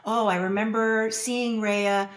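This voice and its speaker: A woman's voice: a little high, fairly even intonation, slow, reverberant. A woman hosting a podcast interview, speaking in an earnest, reflective way and thinking aloud with restarts. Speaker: podcast host. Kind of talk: interview conversation.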